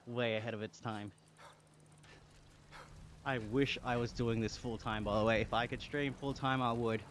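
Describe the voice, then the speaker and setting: Casual male streamer, Australian accent, mid-range voice, chatty and slangy, talking to chat over clear background noise. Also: mid-range voice, steady tone, normal speed, fairly bright tone. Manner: chatty, slangy, reading chat aloud, thanking viewers. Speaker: live streamer.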